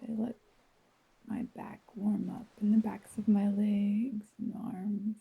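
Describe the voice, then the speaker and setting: A woman narrating a first-person guided visualization in a soft, breathy voice, gentle and dreamy, with long unhurried pauses. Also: medium pitch, flat delivery, narrow, tinny audio.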